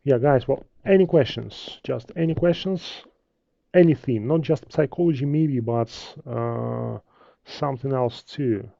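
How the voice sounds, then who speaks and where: Brief: Russian-accented male trading instructor, very dark, gravelly tone, hesitant webinar delivery, dull lo-fi sound. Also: mid-range voice, dry recording. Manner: hesitant, halting, many fillers and restarts. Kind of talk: live webinar talk with viewer questions.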